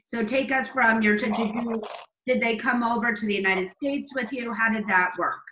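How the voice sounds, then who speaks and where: Woman, talk show host, effusive, exclaiming, tense bright voice, slight background hiss. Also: fairly even intonation, moderate pace. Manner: effusive, exclaims with big pitch leaps. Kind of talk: interviewing a guest. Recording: echoey room.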